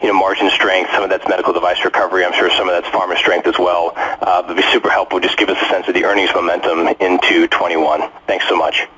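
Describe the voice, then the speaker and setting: A man's voice: low voice, flat delivery, fast talker, few pauses, pushed and bright. A man working as a financial analyst, asking a question in a casual, businesslike way on an earnings call. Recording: noisy conference call line.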